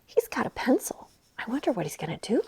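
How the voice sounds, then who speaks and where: A woman's voice: on the high side, sing-song, fast, darkish tone, in a whisper. A woman presenting a children's library storytime, chatting and reading aloud in a playful, enthusiastic way.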